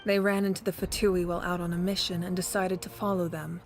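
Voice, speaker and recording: A woman's voice: fairly low pitch, little pitch movement, fast, noisy background, close to an everyday voice. A woman playing a matter-of-fact female companion in a video game, reporting plainly. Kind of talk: video game dialogue acting.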